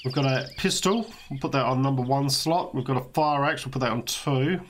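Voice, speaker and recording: An Australian man, slightly hoarse, giving a relaxed walkthrough of a video game he is playing, with frequent fillers. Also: medium pitch, fairly quick, a little hiss or hum. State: slightly hoarse, losing his voice. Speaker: let's play host. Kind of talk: casual gameplay walkthrough commentary.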